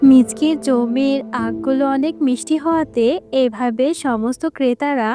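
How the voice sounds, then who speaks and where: A woman's voice: high voice, moderate pace, over music. A woman reading scripted narration for an animal cartoon story.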